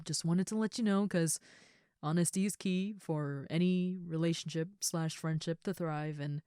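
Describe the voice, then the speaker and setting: A woman playing a gentle, shy young ogre roommate in an intimate comfort roleplay, talking softly, casually and a little hesitantly to the listener. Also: low voice, clear, resonant tone, dry recording, clean studio sound. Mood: earnest, reassuring. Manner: soft, hesitant, casual, reassuring.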